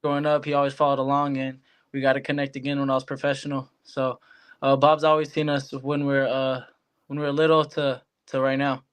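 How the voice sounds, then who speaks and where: Smooth, even-toned American young man. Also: medium pitch, fairly quick. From Hawaii, United States. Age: young adult. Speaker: professional boxer, guest. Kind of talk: casual interview answers.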